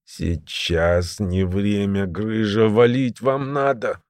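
A man voicing a weary, ailing crippled criminal veteran in an audiobook, creaky and short of breath, talking slowly in prison slang. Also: low voice, a little mellow, no echo, studio quality, exaggerated voice. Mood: labored, urgent. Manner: slow, labored prison-slang speech.

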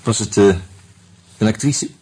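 A musing wry man in a radio play, really raspy low voice, tells it haltingly. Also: darkish tone. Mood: hesitant, searching. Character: reflective male friend telling his story, wry, hesitant. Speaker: actor narrating and playing the lead in a radio play. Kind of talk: intimate first-person radio drama narration and dialogue.

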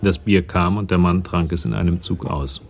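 A man narrating a German radio crime drama in a measured, factual storytelling manner. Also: deep voice, fairly even intonation, vocal fry, a little mellow.

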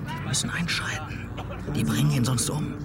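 Earnest, higher-pitched frontier policeman played by a man. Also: normal speed, heavy background noise, natural voice. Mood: tense, hushed. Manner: earnest, formal, insistent. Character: earnest, idealistic city policeman in the Wild West. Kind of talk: German radio drama acting. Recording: music bed behind dialogue.

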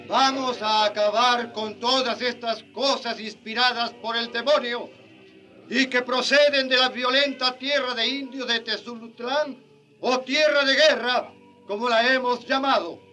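A pompous high-voiced villain bishop. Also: male voice, steady tone, measured pace, sharp tone, soft background music, heavily acted. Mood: fanatical. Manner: pompous, scornful, declamatory. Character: haughty, zealous, hard-handed colonial bishop, male villain. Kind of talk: radio drama acting.

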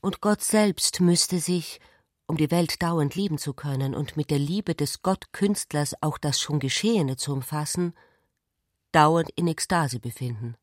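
Actor doing a quiet contemplative narrator, radio play, hushed and careful, really dry sound. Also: mid-range voice, measured pace, dry recording, lightly acted.

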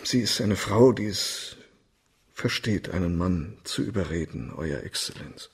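An actor playing an agitated, self-pitying doctor who pleads his case haltingly in a German radio crime drama. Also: low-pitched, animated, gravelly, husky, heavily acted. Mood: anxious, rueful.

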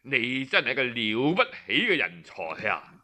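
A male storyteller plays a proud Cantonese-speaking king from an ancient folk tale. The voice sinks low from the narrator's usual talk, slow and grand, full of rueful sighs. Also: big pitch swings, bright, forceful tone, heavily acted. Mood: rueful admiration.

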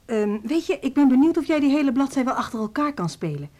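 Hoge, levendige, bemoedigende muziekjuf. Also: female voice, sits high, sing-song, quick pace, a little mellow. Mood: cheerful, encouraging. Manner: coaxing, encouraging, as to a child. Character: encouraging, cheerful woman music teacher with a pupil. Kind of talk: Dutch radio drama acting.

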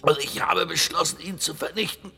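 An actor playing a dying, tormented madman in a German radio horror drama, breathy whispers breaking into feverish, halting cries. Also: on the high side, pushed and bright, heavily acted. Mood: tormented raving. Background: music.

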